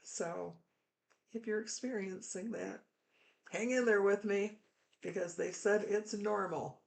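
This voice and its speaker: A middle-aged woman pastor, hoarse after throat surgery, giving a candid, chatty and reassuring health update to viewers. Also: mid-range voice, steady tone, takes it slowly. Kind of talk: casual health update vlog.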